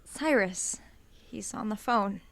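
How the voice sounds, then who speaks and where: An actress playing a snippy, defiant but easily rattled small-town wife, blunt and sarcastic, in an audio drama. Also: female voice, mid-range voice, slow pace, vocal fry. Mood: nervous. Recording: loud music behind.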